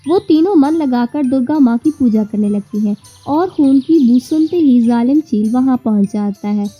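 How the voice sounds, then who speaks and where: A woman's voice: high voice, animated, moderate pace, dark tone, slightly rough. A woman narrating a scripted children's story, with music and birdsong behind her.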